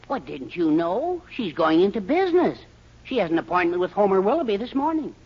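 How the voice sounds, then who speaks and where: A fussy, doting, henpecked husband in a vintage radio comedy. His breathy, husky voice hesitates and wobbles widely in pitch. The old recording sounds dull and muffled. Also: very animated, a little fast, heavily acted. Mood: meek, fussy. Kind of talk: 1940s radio sitcom acting.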